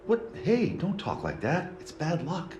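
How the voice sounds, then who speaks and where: A man's voice: a little slow, roomy sound, muffled recording, over music. A man voicing an awkward, affectionate young man in love in a video game, casual and sheepish. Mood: affectionate, teasing. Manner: casual, sheepish, lively swings in pitch. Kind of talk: English video game dub acting.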